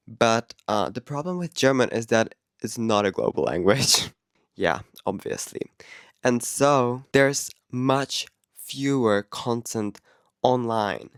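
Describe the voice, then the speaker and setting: A man's voice: mid-range voice, smooth, dry recording, clean studio sound. Young Czech man chatting to camera. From Czech Republic. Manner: chatty and candid, with laughs and self-corrections. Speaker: vlogger. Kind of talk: casual vlog talk to camera.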